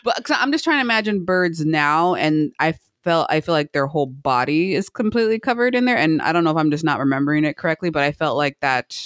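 A woman's voice: low-pitched, animated, fast talker, hardly any pauses, dry recording, clean studio sound. A woman co-hosting a podcast in casual chat, rambling in a playful way and asking lots of questions. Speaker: podcast co-host. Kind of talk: casual chat about movie trailers.

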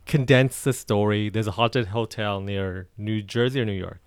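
A man co-hosting a casual podcast chat. He recounts things in a halting way, with many restarts. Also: fairly low pitch, fairly even intonation, clear, resonant tone, no echo. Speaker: podcast co-host.

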